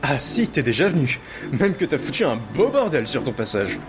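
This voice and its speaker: A man's voice: mid-range voice, very animated, normal speed, over music. A young man playing a cheeky, boastful teenage thief in an audio drama, slangy and dramatic.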